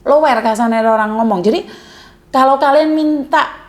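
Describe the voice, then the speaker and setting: A woman's voice: high-pitched, moderate pace. A woman hosting a call-in advice chat, speaking bluntly and plainly, by her own account coarse and outspoken. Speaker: host of a call-in advice channel. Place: Hong Kong.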